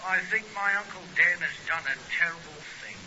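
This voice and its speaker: An actor playing a flustered, deferential provincial police sergeant, hesitant and over-polite, in a 1950s radio drama. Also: male voice, sits high, fairly quick, bright, forceful tone. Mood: sheepish. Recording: muffled, hissy 1950s radio recording.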